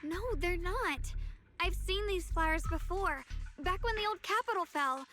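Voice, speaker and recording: An actress playing a gentle, polite young swordswoman in an anime-style game, earnest and soft-mannered. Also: very high voice, normal speed, faint music behind. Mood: earnest, urgent.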